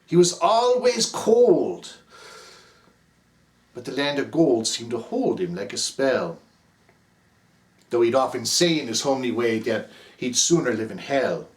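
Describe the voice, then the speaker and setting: Irish guy reciting a ballad slow and dramatic, big sweeping pitch. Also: very animated. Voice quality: rhythmic, theatrical, sweeping delivery. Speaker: narrator as the character Cap.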